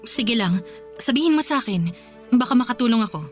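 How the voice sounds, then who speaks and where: A woman's voice: a little high, expressive, fairly quick, faint music behind, natural voice. A woman playing a supportive, practical young wife with a Filipino accent in a radio drama, speaking in a reassuring, coaxing way.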